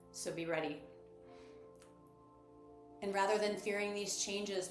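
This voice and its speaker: A woman leading a guided meditation as host, speaking in a measured, instructive and gently encouraging manner. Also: mid-range voice. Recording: roomy echo with music behind. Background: music.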